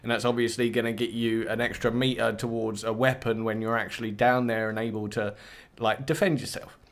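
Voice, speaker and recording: Brief: guy, mid-pitched, eager gameplay commentary, lots of ums and likes. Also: flat delivery, fairly quick. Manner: enthusiastic, rambling with many fillers. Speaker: gaming video creator.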